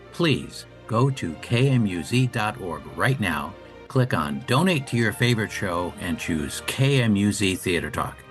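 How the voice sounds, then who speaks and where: A man's voice: lowish voice, a bit bright. A man hosting a radio show, interviewing guests and reading announcements.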